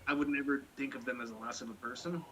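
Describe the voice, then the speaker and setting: A man rattles off blunt, profane, rambling opinions at a quick clip. He speaks at an ordinary pitch for a man. Clear background noise runs under his voice. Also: fairly even intonation, dull audio. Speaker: podcast co-host. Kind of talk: casual chat.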